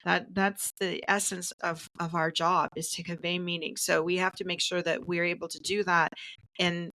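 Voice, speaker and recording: Female podcast host, forward bright tone with some fry, earnest and encouraging in casual talk. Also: fairly low pitch, flat delivery, moderate pace, rough recording.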